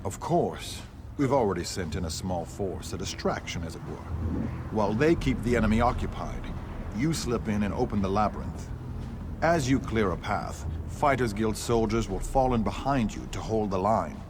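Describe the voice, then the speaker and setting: A man's voice: on the low side, lively intonation, moderate pace, background noise, lightly acted. A man voicing a resolute, honorable guild commander in a video game, firm and formal. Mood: firm resolve.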